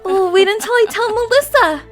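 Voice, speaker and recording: A woman voicing an excitable, anxious young female alicorn pony in a gushing, rambling cartoon audio drama style, over music. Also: very high-pitched, fairly quick. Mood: elated. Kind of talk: animated audio drama acting.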